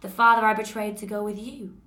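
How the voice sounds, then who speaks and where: A woman's voice: mid-range voice, steady tone, a little fast, sharp tone, close to an everyday voice. A young woman acting a betrayed, furious sorceress queen in a bitter, mocking dramatic monologue. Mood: bitter, scornful. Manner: sarcastic, bitter, rising to outbursts. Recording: roomy echo.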